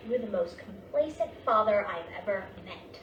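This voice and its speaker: A woman acting an anxious, sharp-tongued wife and mother who is grieving, in a staged play reading with clipped, pointed delivery. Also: medium pitch, expressive, moderate pace, dull audio, natural voice. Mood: heated, accusing. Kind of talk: staged play reading, naturalistic dramatic dialogue. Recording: distant, echoey room with clear background noise.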